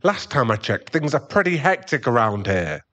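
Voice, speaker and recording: A man's voice: medium pitch, big pitch swings, normal speed, sharp tone. A man playing an irritable, defensive, hard-drinking werewolf boyfriend and expectant father in an ASMR roleplay audio drama, given to sighs and snappish remarks. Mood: irritated, snapping.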